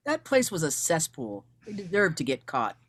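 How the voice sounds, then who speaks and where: A woman's voice: sits low, sing-song, normal speed, slightly rough, full character voice. A woman acting as a determined, blunt female investigator in a screenplay table read. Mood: defiant. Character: defiant, determined recently widowed female investigator, former accountant.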